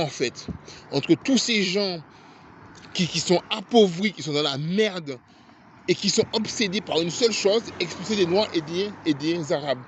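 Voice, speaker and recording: Guy with an accent ranting hard, high-pitched, keeps stuttering, faint traffic noise behind. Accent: non-native French accent. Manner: indignant, heated, stammering repetitions. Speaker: vlogger. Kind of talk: angry political rant to camera.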